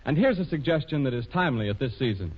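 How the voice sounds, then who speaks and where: A man working as a 1930s radio announcer, reading scripted sponsor copy in a formal, measured way. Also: medium pitch, lively intonation, husky. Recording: muffled 1930s radio recording.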